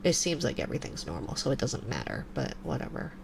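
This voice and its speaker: A woman in her twenties with a stuffy nose, chatting softly on a live ASMR stream and slipping into whispers. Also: rumbling low, fast talker, creaky, noisy background. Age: 20s. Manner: soft and relaxed, drifting into whispers. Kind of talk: casual chat to a live stream. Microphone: binaural mic.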